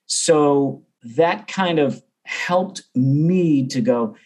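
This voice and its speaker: A man's voice: fairly high pitch, very slow, a little mellow. A middle-aged American man talking casually and conversationally as an interview guest about his own experience. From Boston area, United States. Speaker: percussion teacher, interview guest.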